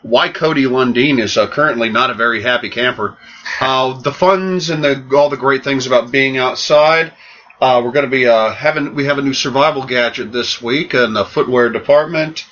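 A man co-hosting a podcast in casual chat, joking and halting over his words with fillers. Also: mid-range voice, fairly bright tone. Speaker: podcast co-host. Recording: dull lo-fi podcast audio.

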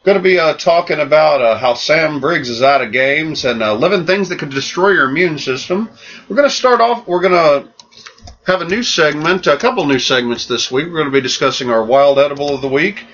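A man co-hosting a podcast in casual chat, joking and halting over his words with fillers. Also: fairly high pitch, fairly bright tone. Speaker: podcast co-host. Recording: dull lo-fi podcast audio.

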